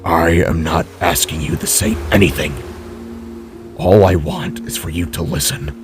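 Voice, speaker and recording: A man voicing a remorseful older metal-bodied father in an animated-style story, speaking slowly, gravely and with subdued sorrow. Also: low-pitched, over music, lightly acted. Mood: quiet pleading.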